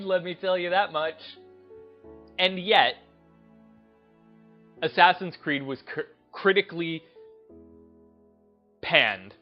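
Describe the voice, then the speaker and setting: A man's voice: a little high, lo-fi sound. A man giving casual opinion commentary as a video host, emphatic and repeating phrases for stress.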